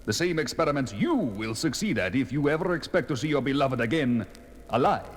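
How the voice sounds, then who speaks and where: Guy acting as a smooth-talking sinister nobleman, husky, very animated, 70s horror record style. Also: mid-range voice, fairly quick, close to an everyday voice. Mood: menacing. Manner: formal, smooth-talking, theatrical. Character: courtly nobleman host with sinister intent. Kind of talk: 1970s horror audio drama acting.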